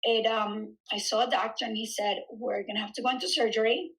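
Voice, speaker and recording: Chatty woman in her 30s, fitness trainer, kinda high and really bright voice, lots of ums. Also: a little fast, low-quality recording. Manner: chatty, animated storytelling with frequent "um" and laughs. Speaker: fitness studio founder and trainer, podcast guest. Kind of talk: casual conversational interview.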